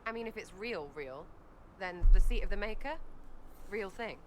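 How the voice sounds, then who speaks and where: An actress voicing an irreverent young archer in a video game, blunt, skeptical and slangy, with a British accent. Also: female voice, mid-range voice, animated, moderate pace, reverberant, background noise, close to an everyday voice. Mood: skeptical, rattled. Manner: cheeky, slangy, choppy phrasing. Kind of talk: video game character dialogue.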